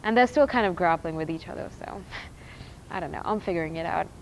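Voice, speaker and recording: A teenage girl from India giving a documentary interview, reflective and halting, restarting her words as she thinks. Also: on the low side, a little hiss or hum, rough recording. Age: teens. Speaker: student interviewee.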